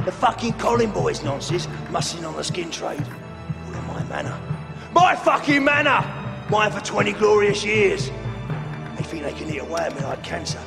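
An actor playing an ageing, menacing London crime boss in a video game, theatrical and gravelly, with a Cockney accent. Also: male voice, on the high side. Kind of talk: video game cutscene acting. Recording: long hall-like reverb.

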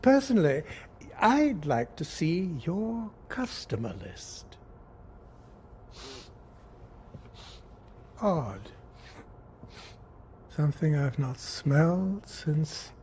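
An actor playing a suspicious official in a video game, sardonic and pointed, with quiet threat. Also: male voice, on the high side, big pitch swings, slow pace, slight background noise. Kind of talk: dramatic video game acting.

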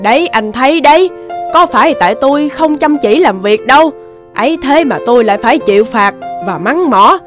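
A woman voicing a hardworking, aggrieved female water buffalo in an animated fairy tale. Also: high-pitched, brisk, over music.

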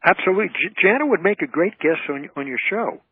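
An older man with a husky voice, telling anecdotes casually as a guest in a radio interview. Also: high-pitched, animated, fast talker, a little hiss or hum. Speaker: guest, author and comedy emcee. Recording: tinny, narrow phone-line sound.

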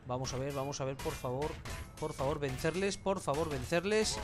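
A man's voice: on the high side, normal speed, music in the background. A man with an accent from Spain giving casual, colloquial gameplay commentary with mild swearing. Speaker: gamer, let's play host.

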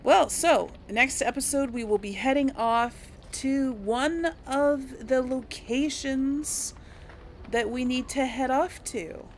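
A woman's voice: high-pitched, slow, a little hiss or hum. A woman hosting a casual role-play let's play, chatting to her audience as she plays. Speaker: host of a role-play game series.

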